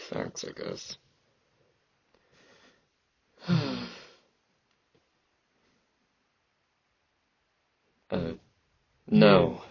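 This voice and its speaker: A man playing an aloof, battle-hardened fantasy knight in an ASMR roleplay, halting and terse, with a husky, gravelly voice and sibilant S sounds. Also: no echo, dull audio, heavily acted. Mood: aloof, awkward. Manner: halting, terse, low-key, socially awkward.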